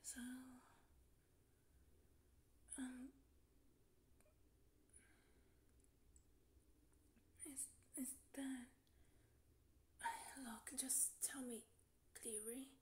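ASMR roleplay lead, female actor: nervous, clingy young woman in love, whispery and halting, unhurried, faint background noise. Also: sits high, fairly even intonation, darkish tone. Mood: flustered, anxious. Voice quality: breathy, often whispery. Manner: halting, flustered, stammering.

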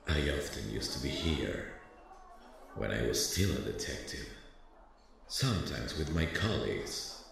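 An actor playing a weary, brooding former detective who thinks aloud slowly in an ASMR audio drama, with a deep, gravelly voice. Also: male voice, a bit bright, full character voice. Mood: wistful. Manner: slow, musing, muttering to himself. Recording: very roomy, echoing sound.

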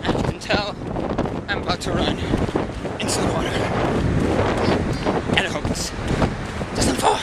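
A man's voice: high voice, measured pace, pauses often. Young male vlogger, casual rambling delivery, arm's-length camera on a windy beach. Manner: rambling, restarts, playful. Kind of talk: casual vlog chat. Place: sunny beach and boardwalk outdoors. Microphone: handheld camera at arm's length. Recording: clear wind noise on outdoor camera.

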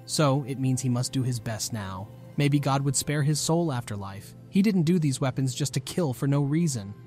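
A man's voice: medium pitch, animated, normal speed, darkish tone. A man narrating a scripted story recap as a storyteller of manga plots. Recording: music behind the voice. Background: background music.

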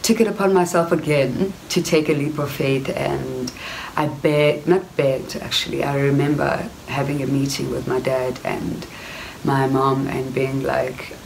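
Low-voiced South African woman, reflective. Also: steady tone, unhurried, creaky, slight background noise, low-quality recording. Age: young adult. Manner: reflective, frequent fillers and "you know". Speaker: actress. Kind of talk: casual personal storytelling to camera. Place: indoor room before wooden cupboards. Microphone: camera at close range.

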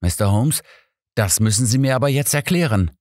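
A man's voice: medium pitch, expressive, brisk, a little mellow, dry recording, studio quality, pitched above the performer's own voice, close to an everyday voice. A man voicing a proud, easily ruffled police inspector in an audiobook, blunt and emphatic. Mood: flustered.

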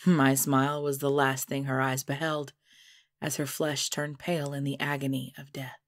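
A proud and menacing cursed creature played by a woman in an audio drama. Her voice sits low, and she tells her tale formally, with composed, deliberate weight. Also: flat delivery, no echo, clean studio sound. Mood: cold, proud. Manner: formal, measured, deliberate storytelling delivery.

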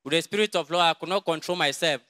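A young man with a Cameroonian English accent gives a quiet, halting testimony in a large crowded church, speaking into a handheld mic someone else holds. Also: a little high. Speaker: church member giving testimony. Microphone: handheld mic held by another person.

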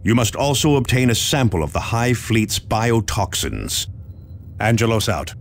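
An actor playing an authoritative, resolute space marine captain giving emphatic orders in a video game. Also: male voice, fairly low pitch, very animated, a little slow, a little hiss or hum. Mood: commanding, grave. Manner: commanding, emphatic. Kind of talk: scripted game mission briefing.

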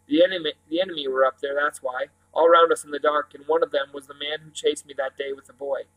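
A man's voice: fairly high pitch, fairly even intonation, fast talker, phone-quality sound, natural voice. A man voicing a weary, skeptical boy, an older brother with short, dismissive answers.

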